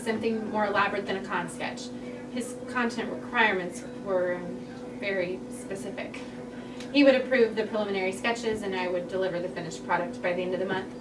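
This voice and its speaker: A woman at a comedy table read playing a vulnerable young comic artist, telling an embarrassing story in a dry, halting, sheepish way. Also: mid-range voice, lightly acted. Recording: roomy, distant mic, noisy room.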